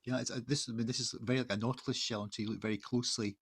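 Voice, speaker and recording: A man hosts a casual interview in a husky, mid-pitched voice. He is chatty and a little halting, often starting a question over before he lands it. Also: steady tone, a bit bright. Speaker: host, magazine editor interviewing an artist. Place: online video call.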